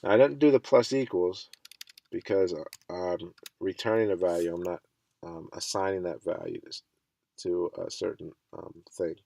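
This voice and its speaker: A man with a stuffy cold teaching a coding lesson in a relaxed way, thinking aloud with frequent ums. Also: low voice, normal speed, gravelly. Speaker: coding instructor. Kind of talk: screen-recorded coding tutorial.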